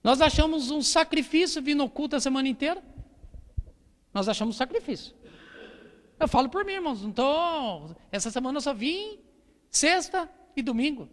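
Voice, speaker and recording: A man's voice: extremely high pitch, expressive, normal speed. A man with a Brazilian Portuguese accent preaching a church sermon, exhorting with repetition and rhetorical questions. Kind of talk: church sermon with Bible reading. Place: church hall during a service. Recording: long hall reverb.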